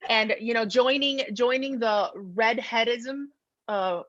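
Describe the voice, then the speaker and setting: A woman's voice: fairly high pitch, takes it slowly, a bit bright, dry recording. Dull-sounding, dry recording of a chatty woman host. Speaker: podcast host. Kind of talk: casual chat. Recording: dull, lo-fi close dry audio.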